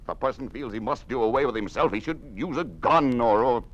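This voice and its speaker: An actor playing a grim, secretive governor in an old-time radio drama, speaking in a terse, commanding way over a noisy old recording. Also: male voice, medium pitch, lively intonation, a little fast, dull audio, natural voice. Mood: scornful, agitated. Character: grim, secretive governor plotting his escape.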